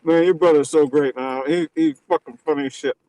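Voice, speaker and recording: A man's voice: fairly high pitch, steady tone, a little fast, dark tone, no echo, poor audio. A man hosting a live stream, teasing his guest in crude, casual banter. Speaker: stream host.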